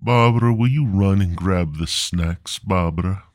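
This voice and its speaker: Slow, low-voiced male podcast host. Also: darkish tone, no echo, clean studio sound. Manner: mock-grandiose, drawn-out, theatrical delivery; crude, foul-mouthed. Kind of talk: rambling casual solo comedy podcast.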